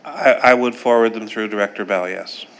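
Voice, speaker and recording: A man with a lowish voice answers a question at a council meeting, measured and procedural in tone. His voice is clear and plain. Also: a bit bright. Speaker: chief administrative officer. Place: council chamber.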